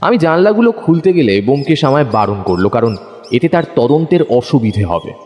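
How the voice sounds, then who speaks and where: A man's voice: medium pitch, sing-song, brisk, a little mellow, close to an everyday voice. A man playing a detective's observant, curious companion, telling the story in the first person as a dramatised audio narration. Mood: storytelling. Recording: long hall reverb, faint background noise.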